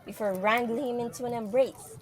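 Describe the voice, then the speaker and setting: Female narrator for an audio drama, fairly high voice, scripted storytelling delivery. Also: fairly even intonation, fairly quick.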